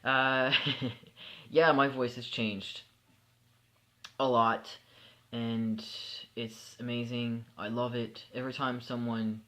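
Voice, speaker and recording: A man's voice: mid-range voice, slow, pauses often. Sleepy, candid young man vlogging. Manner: relaxed, candid, with frequent fillers and restarts. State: sleepy at bedtime. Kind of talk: casual personal vlog.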